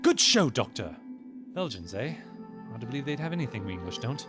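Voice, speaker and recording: A man playing a proud, gruff English expedition seaman in an audio drama, blunt and dismissive. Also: mid-range voice, big pitch swings, a little fast, close to an everyday voice. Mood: sceptical. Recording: loud music behind audio drama.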